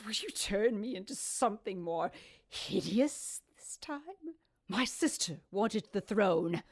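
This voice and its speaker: An actress playing an anguished, centuries-old cursed snake-woman in an audio drama, speaking formally and solemnly with breathy, hissing emphasis. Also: mid-range voice, lively intonation, slow pace. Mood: wounded, accusing.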